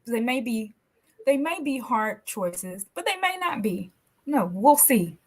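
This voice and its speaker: A woman from the American South hosts a relaxed podcast conversation with a slightly high voice. She affirms her guest often and turns playful when she leads a quick either-or game. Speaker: podcast host. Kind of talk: casual interview chat.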